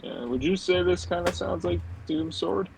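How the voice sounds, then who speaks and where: A man's voice: high-pitched, moderate pace, creaky, darkish tone, slight background noise, low-quality recording. A man with a dry throat co-hosting a casual music podcast, rambling loosely and restarting his sentences as he goes. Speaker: podcast co-host.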